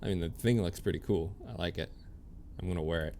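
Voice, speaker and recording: A man's voice: sits low, fast talker, vocal fry, warm tone, slight background noise. A man giving casual livestream commentary on a video game, easygoing and chatty as he thinks aloud and reads out on-screen text. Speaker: game streamer.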